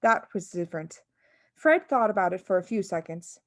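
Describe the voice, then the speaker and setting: A woman reading a children's story as an expressive audiobook narrator. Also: medium pitch, normal speed, dry recording, studio quality. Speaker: audiobook reader.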